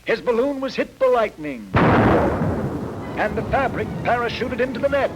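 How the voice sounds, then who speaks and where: A man's voice: very high voice, unhurried, heavy background noise. An actor playing a wry, playfully pompous male lecturer giving comic commentary in a 1940s training film. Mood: wry, amused. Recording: 1940s film soundtrack over music.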